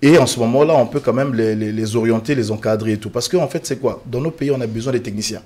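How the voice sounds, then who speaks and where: A man's voice: medium pitch, quick pace, darkish tone. A man from the Republic of the Congo, a guest on a televised panel, speaking earnestly and emphatically with frequent fillers and restarts.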